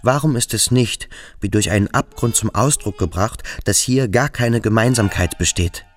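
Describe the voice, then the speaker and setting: An actor playing a sensitive, ashamed, brooding boarding-school youth in a radio drama, speaking introspectively in halting, measured phrases. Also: male voice, fairly low pitch, faint music behind, lightly acted. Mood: troubled, questioning. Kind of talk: radio drama acting, inner monologue.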